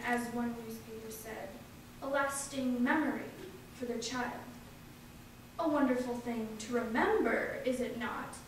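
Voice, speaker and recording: A young woman giving a deliberate, declamatory reading of a political speech. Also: high voice. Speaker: reader of historical speeches. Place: large indoor hall at a live event. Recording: very echoey hall, distant mic, faint noise.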